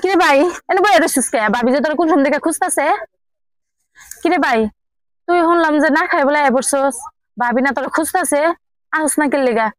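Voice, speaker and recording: A woman acts out a sharp-tongued villager in a drama, nagging in a high voice at a rapid clip. She is blunt and bossy, with a Bangladeshi regional accent. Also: dry recording, natural voice, rough recording. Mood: questioning, coaxing.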